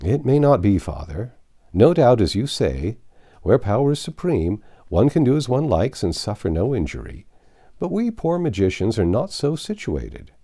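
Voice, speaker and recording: Man as a wry, lively-pitched confident magician. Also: normal speed, heavily acted. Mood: reasoning, assured. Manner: reasoning, politely self-assured. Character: confident, wry self-styled magician, male outsider.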